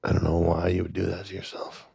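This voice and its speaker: A man's voice: sits low, level tone, fast, vocal fry, mellow, husky, dry recording, studio quality. A man hosting a casual podcast chat, chiming in with short reactions and frequent laughter.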